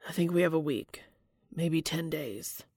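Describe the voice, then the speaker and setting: A woman voicing an ailing, stubborn, lovesick man in an audiobook, hushed, half-whispered and pleading. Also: low-pitched, measured pace, background noise. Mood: weary pleading. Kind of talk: audiobook character dialogue.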